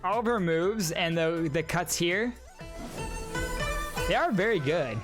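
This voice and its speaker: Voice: mid-range voice, takes it slowly. A video host giving casual, enthusiastic fan commentary while reacting to trailers. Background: music from the trailers.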